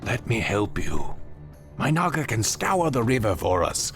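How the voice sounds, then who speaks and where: A man's voice: medium pitch, big pitch swings, measured pace, soft background music. A man voicing a proud, brooding outcast warrior in a solemn, dramatic way for a video game. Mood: earnest, persuasive. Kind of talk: video game cutscene voice acting.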